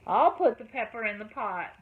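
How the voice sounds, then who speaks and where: A woman voicing a busy young son who yells back from the front porch. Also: fairly high pitch, animated, normal speed, lightly acted. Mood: pleased. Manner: yelling back. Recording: tinny phone-like audio, faint noise.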